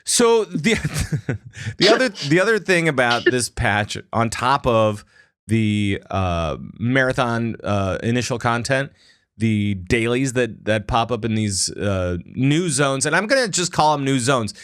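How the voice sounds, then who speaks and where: Male gaming podcast host, playful and repetitive for emphasis, mid-pitched, very dry recording. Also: animated, measured pace, a bit bright, clean tone, no room sound. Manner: playful, joking, repeats phrases for emphasis. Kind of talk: casual gaming podcast banter.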